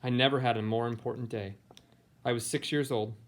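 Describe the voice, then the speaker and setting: A man's voice: mid-range voice, steady tone, a little fast. American man, teacher reading to kids. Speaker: teacher and read-aloud host. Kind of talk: picture book read-aloud, informal introduction.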